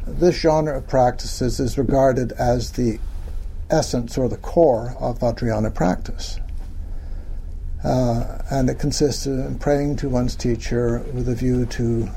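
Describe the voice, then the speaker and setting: A soft-spoken man giving a talk about his book at a bookstore, slow and hesitant with many ums. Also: mid-range voice, vocal fry, slight background noise, rough recording. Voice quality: notoriously soft voice. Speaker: author speaking at a bookstore event.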